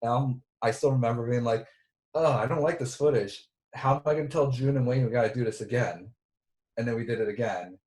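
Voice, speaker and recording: A man's voice: mid-range voice, fairly quick, darkish tone, reverberant. A man, the director of a film, chatting casually at a reunion and rambling with lots of filler words over a video call.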